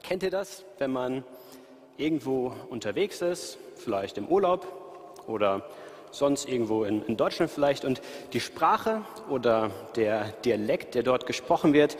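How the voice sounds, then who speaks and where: A man from the Ruhr area with a light Ruhr accent, preaching in a relaxed, conversational way and talking straight to his listeners. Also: medium pitch, measured pace. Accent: light Ruhr German. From Ruhr area, Germany. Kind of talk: sermon. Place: church hall, live audience and online stream. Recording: reverberant hall.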